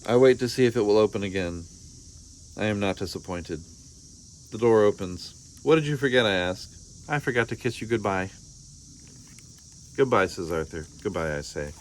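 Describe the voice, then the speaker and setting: A man's voice: on the low side, lively intonation, moderate pace, long pauses, slight background noise. A man reading a children's storybook aloud expressively, acting out the dialogue. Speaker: storybook reader.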